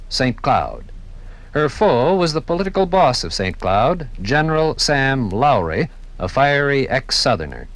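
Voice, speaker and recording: An American man narrating a scripted 1950s radio documentary in a measured, formal storytelling manner. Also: medium pitch, no room sound. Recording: 1950s radio broadcast from vinyl record.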